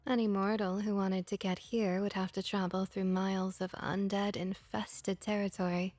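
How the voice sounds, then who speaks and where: A woman playing a friendly, comforting vampire innkeeper in a soft-spoken ASMR roleplay, gentle, reassuring and often whispery. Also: medium pitch, flat delivery, normal speed, no echo, lightly acted. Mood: soothing, reassuring.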